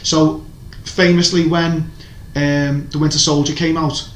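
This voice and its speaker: A man's voice: on the high side, little pitch movement, a little slow. A man chatting casually as a podcast co-host, rambling and opinionated, with lots of restarts. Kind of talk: casual chat reviewing TV shows. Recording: echoey room, faint background noise.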